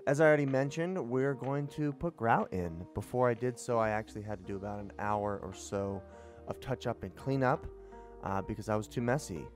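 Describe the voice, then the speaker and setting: A man's voice: medium pitch, fairly quick, soft background music. A young man, a home improvement vlogger, chatting casually through his tiling job with tips and frank admissions of his own mistakes. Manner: chatty, self-critical, explaining tips. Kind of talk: casual DIY voice-over. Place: small basement bathroom, indoors.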